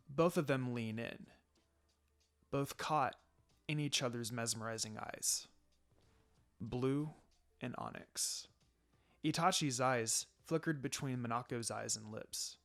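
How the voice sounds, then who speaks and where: A man's voice: medium pitch, creaky, a bit bright. A man reading fan fiction aloud as a dramatic story narrator.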